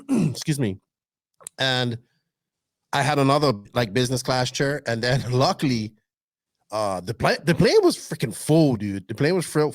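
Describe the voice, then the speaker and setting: A man hosting a casual podcast, animated and teasing, rambling through his stories. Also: mid-range voice, no room sound, clean studio sound. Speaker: podcast host. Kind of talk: casual chat.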